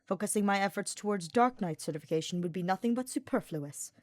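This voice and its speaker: Lady doing a grumpy dark mage guy, sardonic n formal, audiobook character, close dry sound. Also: mid-range voice, lively intonation, fast talker, dry recording, lightly acted. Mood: muttering, scornful. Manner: dry, dismissive, formal phrasing. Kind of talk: fan fiction audiobook character voice.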